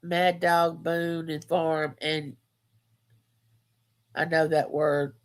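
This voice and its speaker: A woman's voice: sits low, level tone, extremely slow. A woman, hosting a casual live chat and telling stories, repeating phrases for emphasis. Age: 50s. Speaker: livestream host.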